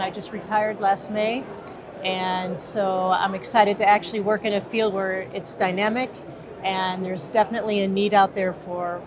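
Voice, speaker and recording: A woman's voice: mid-range voice, background noise. A woman from the Midwest, co-owner of a protection business, answering interview questions in an earnest way with frequent fillers.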